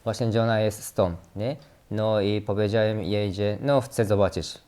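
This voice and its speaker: A man's voice: lowish voice, fairly even intonation, moderate pace, warm tone, clean tone. A Japanese man, a non-native speaker, answering hesitantly and thoughtfully. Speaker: interviewee. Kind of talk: casual interview answers.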